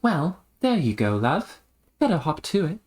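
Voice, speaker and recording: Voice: mid-range voice, sing-song, a little slow, darkish tone, voice pushed up. A reader voicing a prim, cheery British pen pal with genteel, old-fashioned turns of phrase.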